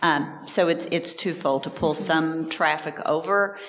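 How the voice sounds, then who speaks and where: A woman answering staff questions at an open forum, measured and hesitant with many fillers, in a meeting room. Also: low voice, a bit bright, a little hiss or hum.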